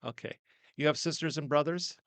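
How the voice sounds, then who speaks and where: Loud, gravelly older man hosting an interview. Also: fairly high pitch, brisk, dry recording. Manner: loud, coaxing, chatty. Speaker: host. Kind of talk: casual interview. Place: small home studio. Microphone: directional studio mic.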